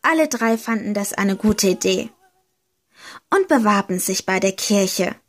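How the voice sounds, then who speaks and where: A woman reading a short homemade fairy tale aloud, slow and deliberate, leaning gently into key words. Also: medium pitch, lively intonation. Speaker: storyteller. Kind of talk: slow storybook narration.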